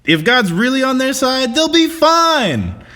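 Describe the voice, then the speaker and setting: Man playing a glib, wildly animated schemer. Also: very high-pitched, unhurried, exaggerated voice. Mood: glib, smug. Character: breezy, unscrupulous male schemer in comedy sketch. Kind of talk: comedy audio sketch acting.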